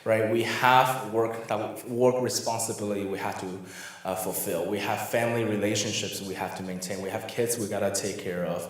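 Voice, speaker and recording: A man's voice: lowish voice, fairly even intonation, moderate pace. A middle-aged man from Taiwan who moved to the US as a child, giving an informal talk as a guest workshop speaker. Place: reverberant room in a church. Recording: very echoey church room.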